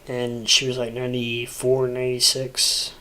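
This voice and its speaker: A man rambling through a casual livestream, stuffy and congested, halting with lots of fillers and restarts. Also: medium pitch, monotone, pushed and bright. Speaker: crypto livestreamer. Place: home room, seated at a desk.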